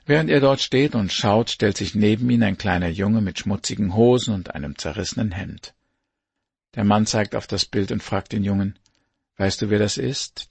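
A man reading a devotional story aloud in a measured, earnest way. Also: on the low side, vocal fry, a little mellow, husky, no room sound. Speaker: devotional reader.

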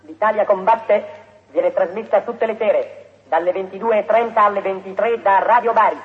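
Man, declamatory radio announcement, phone-thin archive sound, loud music behind. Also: high voice, fairly even intonation, brisk. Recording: tinny old radio recording with music.

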